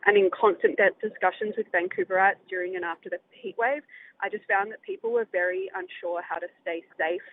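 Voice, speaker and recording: A speaker with an Australian accent giving an earnest prepared statement to a city council. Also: female voice, medium pitch, lively intonation, a little fast. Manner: earnest, read-out statement with rising inflections. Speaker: public speaker at a council meeting. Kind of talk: prepared public comment. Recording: tinny phone line with faint noise.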